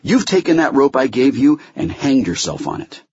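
A man's voice: mid-range voice, big pitch swings, quick pace, slightly rough, muffled recording, pitched above the performer's own voice. A man voicing a stern, impatient female judge in an audiobook, formal, curt and cutting. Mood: angry, warning.

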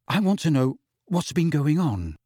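A man's voice: on the high side, very animated, unhurried, mellow, dry recording. Storybook teen boy, male narrator: earnest, restless for answers, questioning tone, dry close mic. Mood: determined. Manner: eager, questioning. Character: impatient, earnest teenage boy hungry for answers.

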